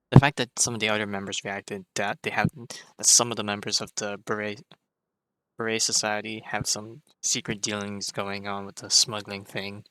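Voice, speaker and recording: Rich: A low-pitched man with a bright, forceful tone, chatting casually as he reacts to a game. His voice is close and dry, with game music faintly under it. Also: no room sound. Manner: casual, slangy, thinking aloud. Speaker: game streamer.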